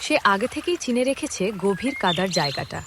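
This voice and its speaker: A woman's voice: mid-range voice, sing-song, a little fast, noisy background. A woman narrator reading a scripted storybook fable. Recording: loud music under the voice.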